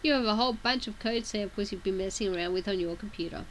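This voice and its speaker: Woman, sore throat, reading a webcomic aloud, casual asides, middling pitch, faint hiss. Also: fast, poor audio. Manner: casual, chatty asides between read text. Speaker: reader of a webcomic.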